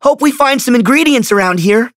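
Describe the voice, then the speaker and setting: An actor voicing a spirited, earnest teenage boy hero in a video game, with energetic, punchy exclamations. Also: mid-range voice, fairly quick, no room sound, clean studio sound, lightly acted. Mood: curious. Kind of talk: video game voice acting, battle barks and exclamations.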